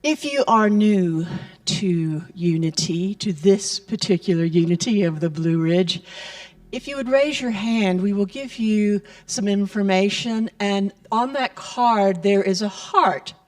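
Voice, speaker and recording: A woman making gentle announcements and blessings during a church service. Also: medium pitch. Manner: slow, gentle, blessing-style delivery. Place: church sanctuary. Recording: voice picked up from a distance.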